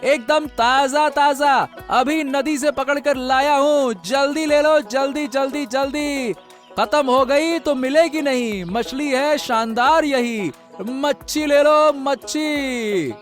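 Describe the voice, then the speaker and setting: A man playing a stubborn, cheerful young fish seller in an animated folk tale, chatty and folksy. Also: extremely high pitch, slow pace, soft background music. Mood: hawking loudly. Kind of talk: animated Hindi moral-story voice acting.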